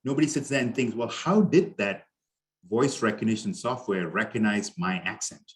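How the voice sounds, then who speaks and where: A man's voice: on the low side, moderate pace. A middle-aged man with an Indian accent giving a conversational conference talk over a video call, with many fillers and restarts. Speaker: conference speaker. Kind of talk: conference presentation.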